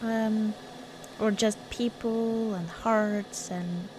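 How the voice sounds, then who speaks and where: Slow, soft-spoken woman in intimate ASMR roleplay. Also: medium pitch, steady tone, a little hiss or hum, low-quality recording. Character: affectionate, cozy girlfriend baking at Christmas. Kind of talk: ASMR girlfriend roleplay, intimate casual chat.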